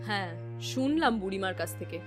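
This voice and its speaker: A woman's voice: mid-range voice, animated, brisk, natural voice. A woman voicing a concerned, supportive village woman in a fairy tale cartoon. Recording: voice over background music.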